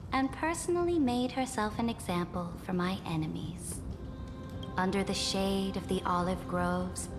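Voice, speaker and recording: A woman's voice: mid-range voice, long reverb tail, close to an everyday voice. An actress playing a proud, imperious female emperor in a video game, speaking in grand, commanding formal oratory. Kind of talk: dramatic video game voice acting. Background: music.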